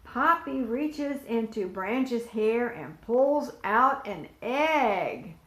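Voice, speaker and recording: A woman reads a picture book to small children at a very slow, deliberate pace, her fairly high voice rising and falling with lots of expression. She stops to ask questions and point at the pictures. The room is echoey. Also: animated, fairly bright tone. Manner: asks young listeners questions and points to pictures. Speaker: volunteer storyteller. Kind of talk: picture-book read-aloud for preschoolers.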